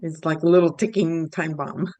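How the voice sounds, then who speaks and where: A woman's voice: low-pitched, moderate pace, a little mellow, dry recording. A talkative woman from Cuba, a guest casually retelling a personal experience with repeated words for emphasis. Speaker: stroke survivor guest.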